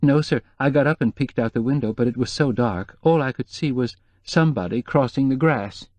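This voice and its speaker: A man's voice: mid-range voice, brisk, darkish tone, no echo, voice pushed up, lightly acted. A man voicing a nervous, timid middle-aged female housekeeper who whimpers and speaks hesitantly, in a dry close read.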